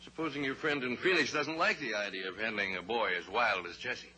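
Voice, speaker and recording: A nervous, weak-willed lawyer. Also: male voice, medium pitch, brisk, pushed and bright, rough recording. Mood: wheedling. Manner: smooth but nervous, hesitant. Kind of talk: 1950s radio drama acting. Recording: old radio recording with faint hiss.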